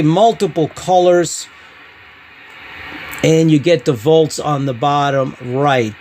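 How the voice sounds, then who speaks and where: Higher-voiced man podcasting over background noise. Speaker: podcast host reviewing CB radios. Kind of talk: podcast-style product review monologue.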